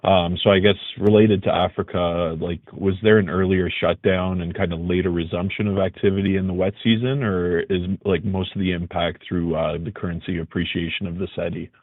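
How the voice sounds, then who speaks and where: Male analyst caller, low voice, casual and a little hesitant, on a dry phone line. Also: steady tone, fairly quick, few pauses, dry recording. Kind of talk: casual question on earnings call.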